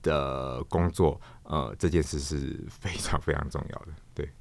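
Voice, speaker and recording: Male interview guest, Taiwanese accent: very low register, reflective and hesitant, often repeating words, close dry sound. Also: fairly even intonation, creaky, dry recording. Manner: halting, thoughtful, searches for words and repeats himself. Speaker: guest, theatre performer, puppeteer and director.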